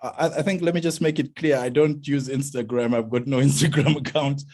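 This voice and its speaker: A South African man answers questions in an academic video call. His voice is slightly husky, and he explains hesitantly, often repeating words as he works out his point. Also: medium pitch, fairly even intonation, a little fast, a little mellow.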